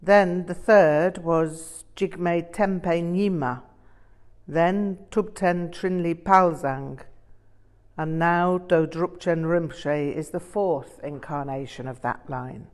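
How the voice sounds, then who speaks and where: A woman reading the part of an older male Tibetan Buddhist master in an audiobook, telling stories in a deliberate, emphatic way. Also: on the low side, darkish tone. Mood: didactic, blunt.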